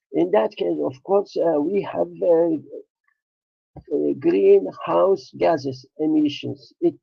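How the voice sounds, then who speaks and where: A man with a Turkish accent, a Turkish native speaker, giving an academic conference presentation, halting often with hesitations. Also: fairly high pitch, vocal fry, breathy edge, no echo, lo-fi sound.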